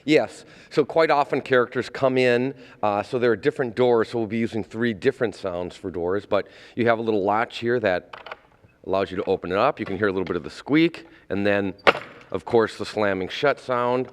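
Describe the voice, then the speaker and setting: A man's voice: lowish voice, fairly quick, big-room sound, slight background noise. A man explaining things as a theater director in an interview, wordy and somewhat hesitant.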